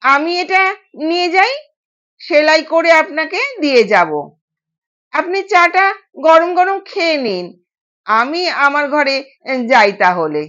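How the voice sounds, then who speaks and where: Young new bride, played by a female storyteller, dutiful and polite, high unhurried voice, slightly lo-fi. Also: big pitch swings, fairly bright tone, exaggerated voice. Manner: polite, soothing. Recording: dull, lo-fi sound.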